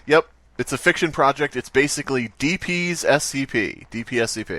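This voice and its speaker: A man's voice: medium pitch, a little fast, pushed and bright, dry recording. A man co-hosting a comedy podcast, joking and teasing in casual banter. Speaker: podcast co-host.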